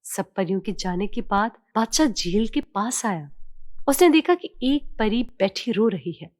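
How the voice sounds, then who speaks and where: A woman's voice: mid-range voice, lively intonation, measured pace, darkish tone, no room sound, clean studio sound. A woman narrating a scripted children's story as a storyteller.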